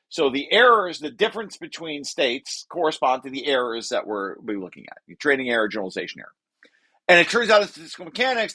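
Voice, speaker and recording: A man's voice: a little high, bright, forceful tone, no echo. An older man giving an informal technical lecture, reading through a paper and explaining it, often rephrasing as he goes. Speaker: researcher presenting his paper.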